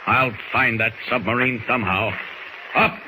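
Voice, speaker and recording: A man's voice: medium pitch, moderate pace, natural voice. An American man playing a resolute superhero in a 1940s radio serial, thinking aloud in a clipped, declamatory way. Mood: determined. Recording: noisy old 1940s radio recording.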